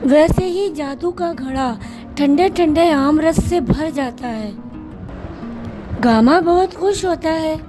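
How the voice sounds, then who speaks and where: A woman narrating a children's cartoon story in a measured storytelling way. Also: high voice. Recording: narration over background music.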